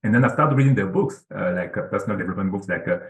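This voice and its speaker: A man's voice: fairly low pitch, very fast, echoey room. A man from Cameroon, a guest author, talking earnestly over a video call with lots of fillers and repeats. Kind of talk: casual interview talk.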